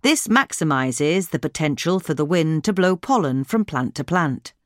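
A woman's voice: low voice, expressive, moderate pace, no room sound, studio quality. A woman reading a scripted gardening article aloud as a podcast narrator. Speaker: podcast reader.

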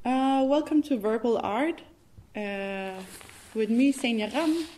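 A woman's voice: medium pitch, a little mellow, a little hiss or hum. A woman from Denmark hosting a podcast interview in a theatre, chatting casually and laughing often. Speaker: podcast host.

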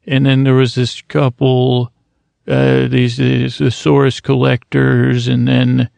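A man's voice: medium pitch, monotone, slow, gravelly, darkish tone, no echo. A man hosting a sleep podcast, rambling and wandering off topic, often stopping and restarting, close to the mic. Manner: meandering, self-interrupting, over-explaining. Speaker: podcast host. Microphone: close studio mic.

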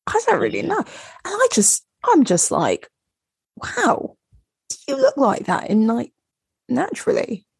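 A woman's voice: high-pitched, wildly swooping pitch, measured pace, gravelly, long pauses, breathy edge, no room sound, studio quality. A young woman co-hosting a podcast in casual chat, rambling with lots of fillers. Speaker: podcast co-host.

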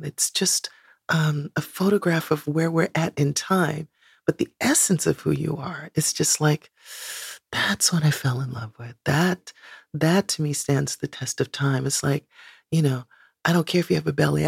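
Woman in her 60s, low gravelly husky voice, rambly reflective talk, dry close sound. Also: no room sound. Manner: searching, rambling, many "you know"s. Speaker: guest, singer. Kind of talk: reflective interview conversation.

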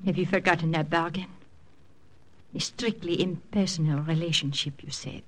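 A woman's voice: fairly low pitch, fairly even intonation, moderate pace, lightly acted. A woman playing a coy seductress. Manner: coy, teasing, sprinkles French phrases. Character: teasing seductive French woman. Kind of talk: 1940s radio acting.

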